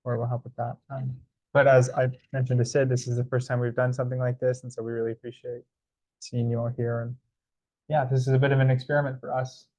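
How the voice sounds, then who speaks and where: A young man welcomes a small gathering in an indoor room, chatting warmly with fillers and easy laughs. His voice sits at a middle pitch. He sounds casual and easygoing. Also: low-quality recording. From Tennessee, US. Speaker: divinity student and part-time organizer. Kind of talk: welcoming a group, casual introductions.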